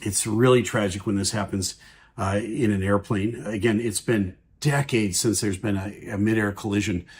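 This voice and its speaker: A man's voice: lowish voice, fairly even intonation, normal speed, slightly rough. A man giving explanatory commentary on air traffic audio in a plain-spoken, everyday way. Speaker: aviation commentator.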